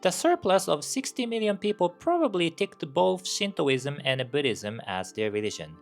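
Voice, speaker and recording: A man's voice: a little high, big pitch swings, normal speed, faint music behind. A Japanese man with a Japanese accent, a campus minister giving a scripted explainer talk with rhetorical questions and asides.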